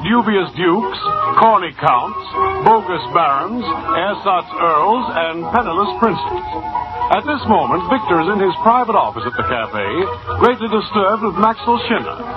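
A man's voice: high-pitched, animated, a little slow. A man performing florid, declamatory scripted narration for an old-time radio comedy. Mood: grand, wry. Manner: declamatory, alliterative scripted delivery. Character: wry, florid male storyteller introducing the comedy. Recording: muffled old radio recording under loud music.

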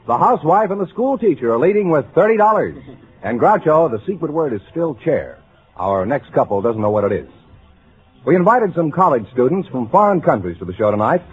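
A man announces contestants with crisp, formal phrasing and a lively, rising and falling voice. The sound is thin and tinny, like an old broadcast. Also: a little high, brisk. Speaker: show announcer. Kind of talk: scripted announcing.

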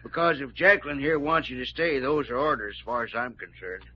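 An actor playing a wry, loyal ranch hand with an American Western drawl, calm and unbudging, in old-time radio style. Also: male voice, mid-range voice, brisk, breathy edge, lightly acted. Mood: wry, firm. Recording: dull, hissy old radio recording.

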